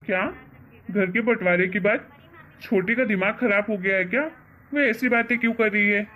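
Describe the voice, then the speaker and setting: A woman's voice: mid-range voice, fairly even intonation, moderate pace, phone-quality sound, natural voice. A woman voicing a caring husband and father in a cartoon family drama, coaxing and reassuring as he asks questions. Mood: shocked.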